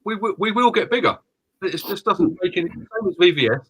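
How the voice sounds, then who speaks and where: Husky man agreeing and stumbling. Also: high voice, animated, a little fast, low-quality recording. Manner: agreeing, repeating and stumbling over words. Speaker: co-host, business owner. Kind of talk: casual ranting on a live stream.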